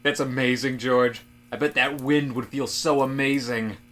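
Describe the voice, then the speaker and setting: Fed-up office guy played by a male reader: halting, slangy, rebellious, bright voice, comic audio drama. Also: medium pitch, fairly even intonation, lightly acted. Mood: fired up.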